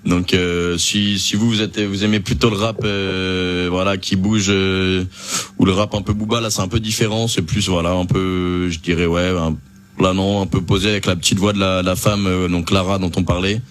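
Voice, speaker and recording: A man's voice: low-pitched, little pitch movement, moderate pace. Hesitant young male radio host. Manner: hesitant, many fillers and restarts. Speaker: radio host presenting an album. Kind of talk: casual radio chat.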